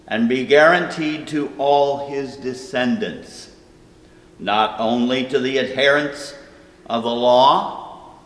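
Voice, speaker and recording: An older man reading scripture aloud at a church lectern in a slow, measured, emphatic way. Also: mid-range voice. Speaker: scripture reader. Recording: roomy church hall reverb.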